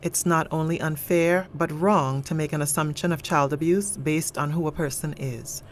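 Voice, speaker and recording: Low-voiced woman narrating a drama. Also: fairly even intonation, moderate pace, a little hiss or hum.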